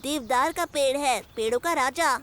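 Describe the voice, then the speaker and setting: A woman voicing a brave, excitable young animal cub in a children's cartoon, eager and exclamatory. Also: high voice, normal speed. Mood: bold, wide-eyed. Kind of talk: Hindi-dubbed children's cartoon acting. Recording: loud music under the voice.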